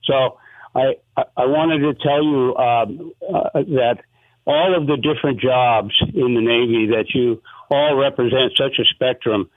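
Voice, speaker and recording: A man's voice: mid-range voice, fairly even intonation, unhurried, no room sound. A male caller from Montana who asks a radio show a rambling but polite question, thanking the guests along the way. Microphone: telephone. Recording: tinny phone line.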